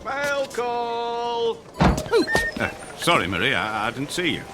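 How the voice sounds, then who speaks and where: A man's voice: high voice, huge pitch swings, slow, very noisy, exaggerated voice. An actor in a radio drama playing a neighbourly island mailman in his sixties, folksy and a little hesitant. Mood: friendly, concerned. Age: 60s.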